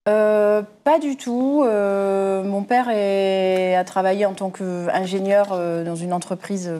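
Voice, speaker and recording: A woman from southwest France with a dark, mellow voice, answering an interviewer's questions. She talks easily but hesitates often, filling pauses as she thinks. Her pitch sits at an ordinary middle height for a woman. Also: steady tone. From southwest France, near Bordeaux. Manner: easygoing, hesitant with many fillers. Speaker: guest, company security manager.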